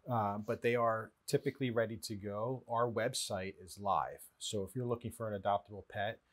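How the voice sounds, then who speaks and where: The low-voiced guy from the local animal shelter, seated on a community TV set, explaining how it all works. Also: steady tone, normal speed. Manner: often tags statements with "right?". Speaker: animal shelter representative, interview guest. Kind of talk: explanatory interview talk. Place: small indoor studio-office, seated.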